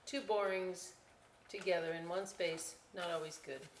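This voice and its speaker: A woman reading a children's story aloud in an informal, expressive way with chatty asides. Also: on the low side, unhurried, a little hiss or hum. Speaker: library storytime reader. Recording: roomy indoor echo.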